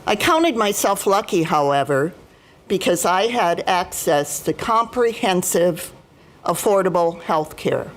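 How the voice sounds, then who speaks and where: An American woman in her seventies giving prepared personal testimony as a speaker, in a formal, deliberate and emphatic manner. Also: fairly low pitch, expressive. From United States, Midwest. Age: 70s. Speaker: union retiree chapter president, guest speaker.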